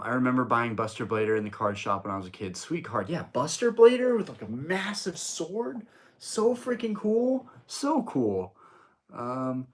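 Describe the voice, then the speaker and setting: A man's voice: medium pitch, a bit bright, slight background noise. A young man hosting a casual live stream from home, chatty and rambling with lots of fillers and restarts. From United States. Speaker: streamer, host of a card-collecting channel. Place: home room.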